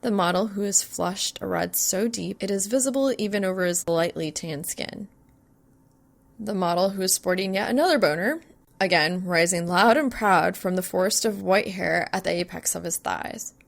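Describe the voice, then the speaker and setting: A woman reading a scripted story aloud as narrator, with an expressive, dramatic delivery. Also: fairly low pitch, normal speed, vocal fry. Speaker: fan fiction narrator.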